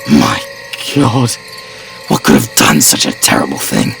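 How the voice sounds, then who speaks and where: A man voicing a gruff, skeptical male companion who speaks bluntly and dismissively in a horror story. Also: rumbling low, wildly swooping pitch, unhurried, a bit bright, voice pushed down, full character voice. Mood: grave muttering. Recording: distant mic with music behind.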